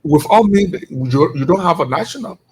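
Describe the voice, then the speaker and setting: Middle-aged Liberian man, high-ish husky voice, impassioned radio guest. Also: animated, normal speed, low-quality recording. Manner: impassioned, rising into emphatic rhetorical questions. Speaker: executive director of a returnee network.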